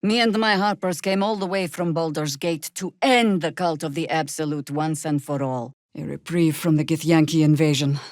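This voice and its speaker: A woman voicing a weary, sardonic veteran druid warrior in a fantasy video game, speaking in a dry, measured way. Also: low-pitched, no room sound, natural voice. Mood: grave, resolute.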